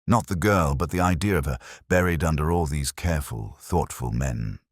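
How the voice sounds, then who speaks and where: Very deep-voiced man narrating a detective story. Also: normal speed, gravelly, slightly rough, no echo, studio quality. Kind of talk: scripted first-person detective story narration.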